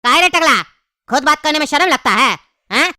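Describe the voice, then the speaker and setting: A woman's voice: high-pitched, expressive, brisk, heavy background noise. A woman voicing a cocky, self-assured cartoon hustler with a teasing, boastful manner. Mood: teasing, haggling. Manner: bantering, boastful. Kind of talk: comedy cartoon voice acting.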